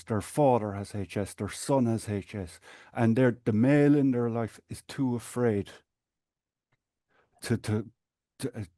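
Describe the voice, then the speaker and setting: A man from Ireland with an Irish accent, a guest speaking in a measured, explanatory way with small restarts. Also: mid-range voice, mellow, no room sound, studio quality. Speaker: guest, support group administrator. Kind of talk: earnest conversational interview.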